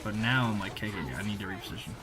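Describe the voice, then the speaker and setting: A man giving casual, slangy voice-over commentary on gameplay, conversational with animated reactions. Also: on the low side, fast talker, loud music behind the voice, poor audio. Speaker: gaming video creator.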